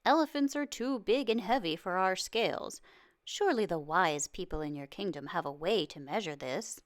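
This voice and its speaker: A woman voicing a polite, deferential ambassador in a storybook, measured and respectful. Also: on the low side, expressive, dry recording, clean studio sound, lightly acted. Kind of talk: storybook read-aloud.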